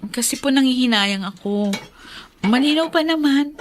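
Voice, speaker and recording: A woman's voice: high voice, lively intonation, moderate pace, a little hiss or hum. A woman playing a meek young daughter-in-law with a Filipino accent, polite and defensive, in a radio drama. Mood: apologetic, defensive. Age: young adult. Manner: apologetic, polite, explaining herself.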